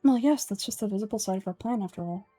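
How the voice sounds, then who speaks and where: A woman voicing a calm, aloof young male scholar with a deadpan, measured delivery in a fantasy game. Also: mid-range voice, no room sound, soft background music, close to an everyday voice. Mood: matter-of-fact.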